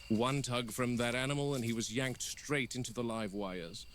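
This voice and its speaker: A stern, exasperated game warden played by a man speaks gravely and bluntly, as if logging an incident for a found-footage horror film. The voice sits at a medium pitch and is deliberate, over faint noisy night ambience. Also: steady tone, lightly acted. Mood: grim, stern.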